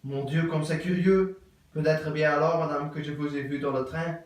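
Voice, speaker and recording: A man playing a stiff, courteous middle-aged English gentleman in an absurd stage play, with formal, ceremonious and repetitive speech. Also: fairly high pitch, steady tone, moderate pace, lightly acted. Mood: ceremoniously curious. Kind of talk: theatre acting of an absurd play. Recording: very echoey room, voice from far off.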